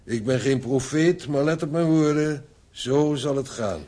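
A man acting in a radio drama as a shrewd, sober older father-in-law, husky, with a measured, knowing and kindly manner. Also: medium pitch, dark tone, natural voice. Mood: dry, emphatic.

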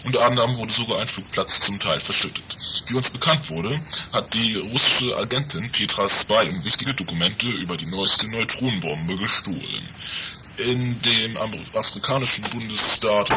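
A man's voice: lowish voice, moderate pace, noisy background, close to an everyday voice. A man playing a formal radio newsreader, delivering a mock bulletin in a comedy radio play.

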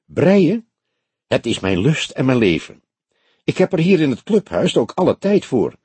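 A man's voice: medium pitch, sing-song, measured pace, dark tone, slightly rough, no room sound, pitched above the performer's own voice, exaggerated voice. A man voicing a proud, ingratiating woman in an audiobook, with an enthusiastic, winning manner. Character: proud woman club member, eager knitter, ingratiating.

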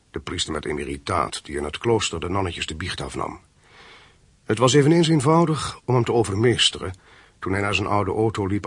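An actor in a radio play narrating as a cool, methodical criminal in a matter-of-fact way. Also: male voice, low-pitched, gravelly, fairly bright tone, breathy edge, no room sound, full character voice.

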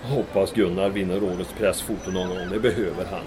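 An actor playing a diplomatic small-town mayor in a comic audio drama, measured, dry and understated. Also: male voice, deep voice, steady tone, background noise, close to an everyday voice.

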